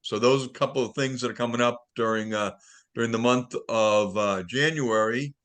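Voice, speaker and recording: A man hosting a car club conference call, announcing upcoming events in a relaxed way with many fillers. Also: medium pitch, normal speed. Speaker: club meeting host.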